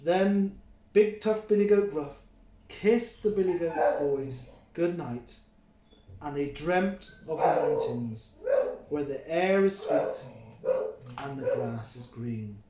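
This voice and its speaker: A man reading a children's story aloud like a teacher, with expressive, deliberate phrasing in an echoey room. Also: a little high, a little hiss or hum. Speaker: early years teacher reading stories. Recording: roomy, echoing sound.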